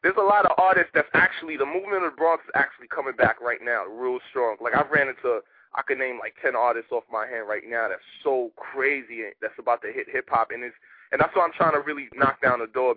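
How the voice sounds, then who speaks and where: A man from Haiti, a rapper guest talking casually in a radio interview, animated and streetwise. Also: medium pitch, no room sound. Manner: animated, streetwise, rapid talk with repeated "you know what I mean". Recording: tinny phone line, dry.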